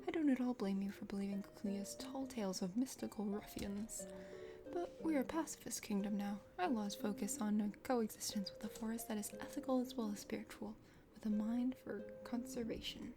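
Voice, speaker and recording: A woman voicing a chatty, condescending dragon sister from a forest kingdom in a video game, speaking formally in a soft ASMR whisper. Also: mid-range voice, moderate pace, voice pushed down, natural voice. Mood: proud, chatty. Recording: music behind the voice.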